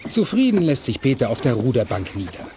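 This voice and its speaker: A man's voice: medium pitch, wildly swooping pitch, fairly quick, noisy background. A man narrating a scripted children's radio play as its storyteller.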